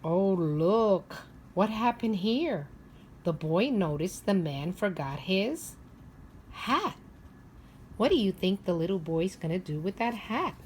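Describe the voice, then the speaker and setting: A woman teacher reading a picture book aloud to young children, expressive and instructive, often pausing to ask them questions. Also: mid-range voice, slight background noise. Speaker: preschool or primary teacher.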